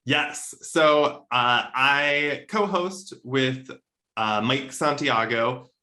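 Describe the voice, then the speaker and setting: A man's voice: medium pitch, drawn-out words, sharp tone. A man speaking casually in an interview, explaining things with enthusiasm as a podcast co-host. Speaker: podcast co-host appearing as guest.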